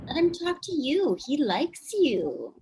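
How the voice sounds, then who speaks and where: A woman's voice: sits high, big pitch swings, slow, warm tone, a little hiss or hum, heavily acted. A woman playing a bitter, exasperated, sweary activist in a live staged play reading. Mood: encouraging. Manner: sweary, biting.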